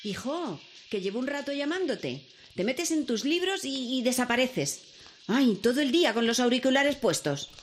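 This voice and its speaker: An actress playing a caring, worried mother who speaks gently and soothingly to her son. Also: high-pitched, animated, moderate pace, over music. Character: caring worried mother, middle-aged woman. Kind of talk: radio drama acting.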